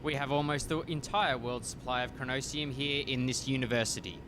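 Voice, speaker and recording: Casting: actor as a fussy, lecturing male lab manager, mid-pitched, radio comedy, clear rumble behind. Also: fairly even intonation, brisk, close to an everyday voice. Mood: stern, pedantic. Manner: officious, lecturing. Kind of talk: radio play farce acting. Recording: noisy home recording with low rumble.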